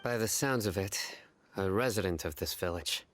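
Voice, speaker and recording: A man playing a stern, vigilant investigator in an anime game dub, speaking seriously, formally and deliberately. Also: low voice, noisy background, natural voice. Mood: probing. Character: stern, vigilant young male investigator, cool and measured.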